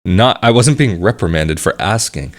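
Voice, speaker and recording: A man talking casually to the camera, explaining things at a measured pace and repeating phrases for emphasis. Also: deep voice, expressive. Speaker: vlogger.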